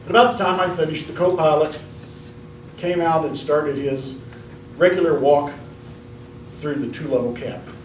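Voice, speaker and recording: A man telling a tall tale as a speech contestant, in a measured, deadpan comic storytelling style. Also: on the high side. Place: indoor room at a speech contest. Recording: roomy, distant mic, faint noise.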